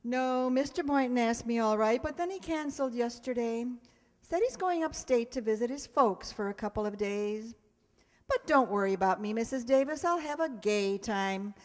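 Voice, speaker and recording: Woman playing a high-voiced, wisecracking schoolteacher. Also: expressive, normal speed, a little mellow, natural voice. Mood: wry, breezy. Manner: dry wisecracking, sardonic. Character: wry, lonely, self-mocking single schoolteacher woman. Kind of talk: old-time radio comedy acting. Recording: reverberant hall stage recording.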